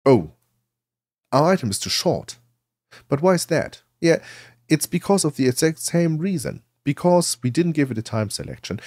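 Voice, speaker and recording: A man narrating a software tutorial in a conversational, explanatory way, asking and answering his own questions. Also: medium pitch, dry recording, clean studio sound. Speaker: tutorial presenter.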